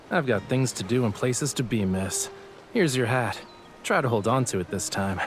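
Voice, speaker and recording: A man's voice: medium pitch, animated, unhurried, slight background noise, close to an everyday voice. A man playing a courteous, teasing young painter in an audio drama, with music behind him. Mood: politely dismissive. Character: young male painter, courteous, teasing, coolly puzzled gentleman.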